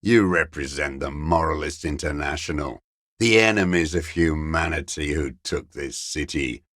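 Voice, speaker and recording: An actor playing a bitter, haunted old communist deserter in a video game, declaiming ideology in a raspy, worn voice. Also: male voice, fairly bright tone, no echo, studio quality. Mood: bitter, accusing. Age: older. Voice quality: gravelly, raspy, worn low voice. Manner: slow, haunted, ideological declaiming with accusatory edge. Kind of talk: dramatic video game voice acting.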